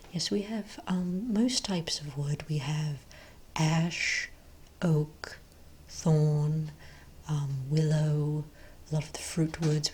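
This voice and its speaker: Soft, breathy, low-voiced woman in ASMR role play. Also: slight background noise. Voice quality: soft, breathy, often near-whispered. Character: friendly long-established magic wand shop owner.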